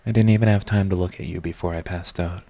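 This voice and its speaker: A man's voice: low voice, steady tone, fast, a little mellow, no echo. A man playing a proud villain who is secretly devoted to his rival, in an intimate, emotional audio roleplay. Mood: somber, confessing. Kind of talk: dramatic ASMR audio roleplay.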